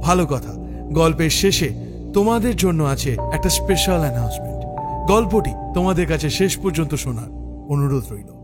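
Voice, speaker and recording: A man's voice: on the high side, lively intonation, brisk. A man presenting a scripted introduction directly to listeners. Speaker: show presenter. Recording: prominent music bed. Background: music.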